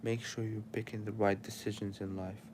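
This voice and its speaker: A man's voice: lowish voice, level tone, moderate pace, noisy background. A young man with an Arabic accent, sleepy and tired, preaching casual life advice straight to his livestream chat.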